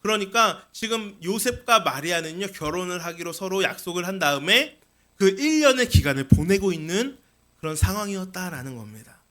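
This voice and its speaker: A man's voice: high voice, animated, moderate pace, fairly bright tone. A man preaching a sermon as a pastor, explaining scripture with rhetorical questions and repeated key phrases.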